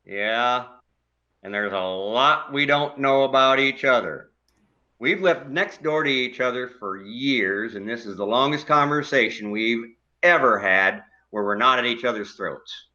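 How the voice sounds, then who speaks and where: A man's voice: mid-range voice, unhurried, bright, forceful tone, lo-fi sound. A man playing a grumpy older widowed neighbor in a stage play, blunt and dryly complaining. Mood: reflective.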